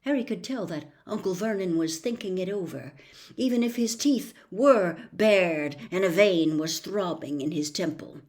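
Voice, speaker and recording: A woman narrating a story as an audiobook reader, expressive and dramatic, with emphatic phrasing. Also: lowish voice, moderate pace.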